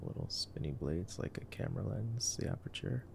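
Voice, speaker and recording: A young man doing casual boyfriend-roleplay movie commentary for ASMR, in a soft, drowsy murmur with slangy phrasing. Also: low voice, lively intonation, normal speed, vocal fry, background noise. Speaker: ASMR voice performer.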